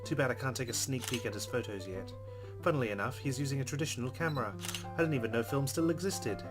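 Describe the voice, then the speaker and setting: A man's voice: mid-range voice, fast, music in the background. A man hosting a let's play, expressively reading a visual novel's narration aloud and adding his own reflective reactions.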